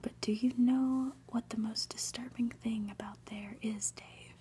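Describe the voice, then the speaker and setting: A woman voicing an ominous, pompous male professor in a breathy storybook whisper, lecturing with drawn-out pauses. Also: fairly high pitch, fairly even intonation, warm tone, slight background noise, natural voice.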